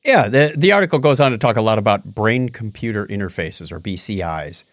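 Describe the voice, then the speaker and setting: A man co-hosting a podcast in casual chat, sometimes halting as he searches for words. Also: fairly low pitch, animated, no room sound, studio quality. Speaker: podcast co-host. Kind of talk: casual chat about movies and tech.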